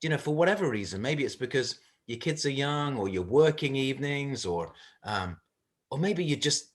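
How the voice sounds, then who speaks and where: A man's voice: mid-range voice, expressive, normal speed. A man speaking earnestly and informally to a group as a church leader on a video call, often checking that listeners follow.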